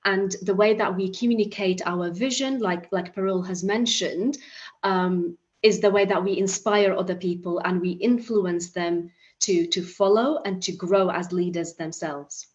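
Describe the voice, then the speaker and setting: A woman's voice: on the low side, measured pace, highs cut off. A woman from Iraq giving an earnest motivational talk at an online summit, with frequent restarts and fillers. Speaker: summit speaker.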